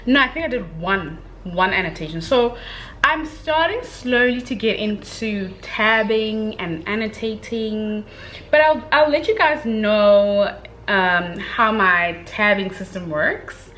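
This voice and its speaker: A young woman giving a casual, chatty book review, speaking in an expressive, gushing, slangy way with dramatic emphasis. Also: mid-range voice, slow, slight background noise, low-quality recording.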